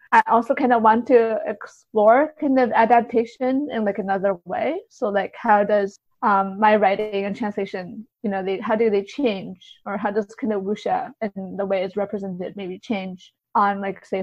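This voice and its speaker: A woman's voice: medium pitch, a little mellow, no echo, lo-fi sound. A woman giving conversational interview answers as a podcast guest, explaining things in a thoughtful, tentative way.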